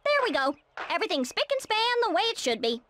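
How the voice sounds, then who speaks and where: A woman voicing a plucky, feisty squirrel in a cartoon video game, forthright and energetic. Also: very high voice, fast talker, very noisy. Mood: friendly, brisk.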